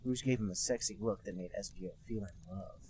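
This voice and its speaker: A man co-hosting a podcast, reading a bad fan story aloud in a deadpan, mock-serious way. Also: on the low side, a little fast, crowd noise. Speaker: podcast co-host reading a fanfic. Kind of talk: comic dramatic reading.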